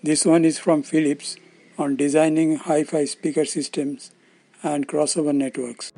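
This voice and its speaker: An elderly man with an Indian accent presents in a dark, relaxed tone. His voice sits a little high for a man. He explains things formally, as if from a prepared script. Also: measured pace, vocal fry, soft background music. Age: older, around 70s. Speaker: presenter of loudspeaker-making videos.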